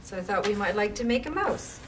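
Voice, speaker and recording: A woman reading to young children as a library storytime reader, with measured, expressive phrasing and casual asides. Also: mid-range voice. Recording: distant mic with faint background noise.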